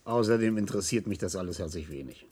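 A man playing a gruff, impatient, sarcastic hospital chief in a German radio play, brusque and blunt. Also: deep voice, fast talker, a little mellow. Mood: dismissive.

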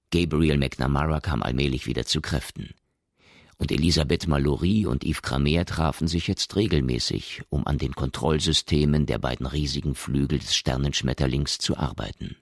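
A man's voice: very deep voice, gravelly, dry recording, heavily acted. An actor narrating a science fiction radio drama as a composed male storyteller, reading scripted prose. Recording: studio narration with faint music. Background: faint music.

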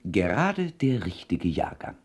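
An actor playing a worldly, teasing dinner companion in a radio play, husky and urbane as he gives advice. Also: lowish voice, very animated, measured pace, heavily acted. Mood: advising, genial. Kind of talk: German radio play dialogue.

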